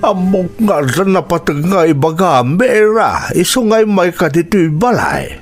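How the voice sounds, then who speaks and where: A man's voice: high-pitched, big pitch swings, a little slow, soft background music. An actor with an Ilocano accent playing a concerned, protective elderly father-in-law in a radio drama. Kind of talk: Filipino radio drama acting.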